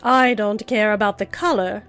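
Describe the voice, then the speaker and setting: A woman's voice: mid-range voice, fairly even intonation, normal speed, fairly bright tone, soft background music, natural voice. A woman voicing a haughty, bossy talking flower with an imperious, emphatic drawl in a children's audiobook. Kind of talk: dramatized children's audiobook acting.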